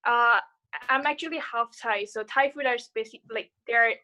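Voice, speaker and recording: A woman's voice: fairly high pitch, moderate pace, pushed and bright. A young woman from Nepal chatting on a video call, hesitant and full of fillers. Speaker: student participant. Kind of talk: casual video call chat.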